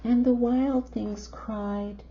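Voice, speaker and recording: Slow, expressive woman storyteller, slightly muffled. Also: fairly high pitch. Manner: expressive, drawn-out phrasing, gasps of wonder and questions to young listeners. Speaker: storyteller reading a picture book to children. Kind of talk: animated children's read-aloud storytelling. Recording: dull lo-fi sound with faint noise.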